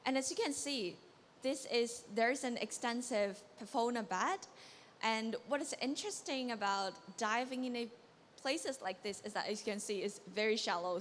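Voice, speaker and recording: A woman's voice: a little high, a bit bright. A young woman from Hong Kong, a coral biologist, presenting enthusiastically on a conference stage with a handheld mic. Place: large conference hall on stage. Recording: long hall reverb, faint noise.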